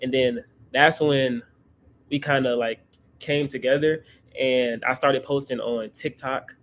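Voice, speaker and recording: Male, 20s, interview guest. Medium pitch, casual storyteller who keeps checking the listener follows. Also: fairly even intonation, unhurried, fairly bright tone, a little hiss or hum. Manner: often checks in with "right?". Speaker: guest, credit content creator.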